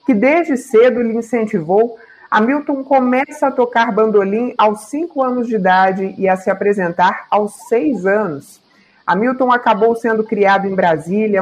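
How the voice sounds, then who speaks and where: Woman presenter reading a clear script. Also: medium pitch, darkish tone, poor audio. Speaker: TV interviewer. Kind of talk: scripted presenter intro and interview.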